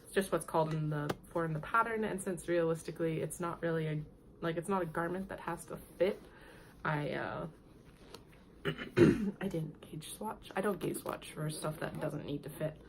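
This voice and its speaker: A woman hosting a knitting podcast, sick and nasal, chatting in a rambling, friendly way and clearing her throat now and then. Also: low-pitched, normal speed, slight background noise, poor audio. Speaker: podcast host. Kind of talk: casual knitting podcast chat.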